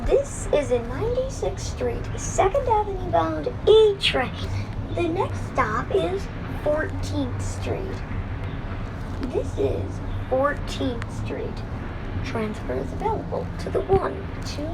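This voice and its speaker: A very high-pitched woman delivers automated-style train announcements at a slow pace, over clear vehicle noise. Her phrasing is formulaic and carefully enunciated, with each piece set apart. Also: big pitch swings. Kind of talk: scripted automated transit announcements. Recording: noisy recording with train rumble. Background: train ambience and vehicle noise.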